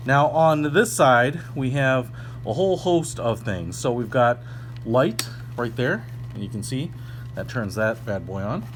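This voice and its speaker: Casual male product reviewer, noisy humming recording. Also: medium pitch. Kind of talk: casual unboxing review, ad-libbed. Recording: clearly noisy recording with hum.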